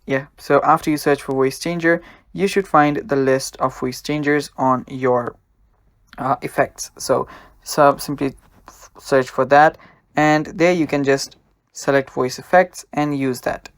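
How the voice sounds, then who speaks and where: A man's voice: medium pitch, steady tone, a little slow, no echo. A man hosting a casual step-by-step tutorial as a voice-over, addressing viewers directly with simple instructions. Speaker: tutorial host.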